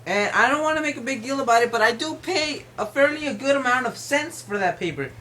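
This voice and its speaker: A woman's voice: high voice, animated, a little fast, a bit bright, slight background noise. A woman playing an aggrieved, stubborn male neighbor, argumentative and repetitive, in an improvised prank phone call. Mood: indignant, complaining.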